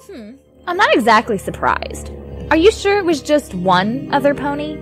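A woman's voice: high-pitched, big pitch swings, moderate pace, over music. A woman playing a haughty, commanding pony princess villain in a fan cartoon drama, with imperious, smugly formal delivery. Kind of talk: fan animated audio drama acting.

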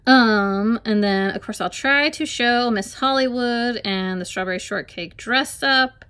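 A young adult woman reviewing tablet game apps in a relaxed, hesitant way, filling pauses with um and like while filming her screen at home. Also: a little high, smooth. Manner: hesitant, many ums and likes. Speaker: app reviewer. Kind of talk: casual screen-recorded app review. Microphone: phone or camera filming a tablet screen.

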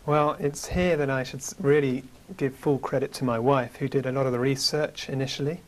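A man in his thirties with a British accent, a guest in a studio interview, telling about his travels in a measured way with many fillers, on a lapel mic. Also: medium pitch, fairly even intonation. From United Kingdom. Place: small studio with curtain backdrop.

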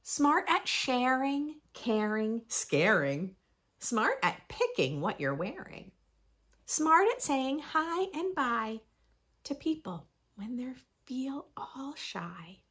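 A woman in her thirties reading aloud expressively for young children, with playful emphasis and deliberate phrasing. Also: sits high, big pitch swings, fairly bright tone, breathy edge. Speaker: host of a children's read-aloud channel. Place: small furnished room indoors.

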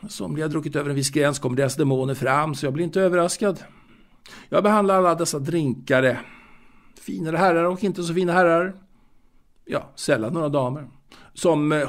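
An actor playing a fastidious, proud waiter and former seaman in an audio drama monologue, confiding in a halting, repetitive way. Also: fairly high pitch. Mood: composed, proud. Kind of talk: intimate dramatic monologue, told to a listener.

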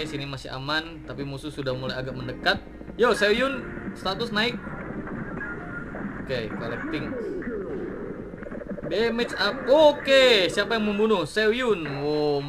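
A man in his thirties giving excited play-by-play on a mobile game as he plays, cheering on his units, with a slight cough. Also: a little high. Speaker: gaming YouTuber. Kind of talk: mobile game commentary and tutorial. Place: indoor room at a desk. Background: game music.

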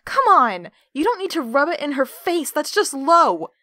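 A woman's voice: sits high, big pitch swings, normal speed, sharp tone, no echo, clean studio sound, full character voice. An actress playing a defiant, foul-mouthed female captive in an audio drama, biting and sarcastic. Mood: indignant.

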